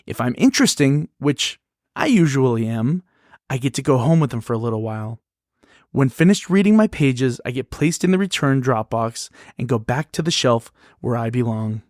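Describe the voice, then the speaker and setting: A woman's voice: rumbling low, expressive, normal speed, a little mellow, no room sound, clean studio sound. A woman acting as a cheerful, naive library book in a musical puppet show, earnest and questioning. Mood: cheerful, proud. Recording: music behind the voice.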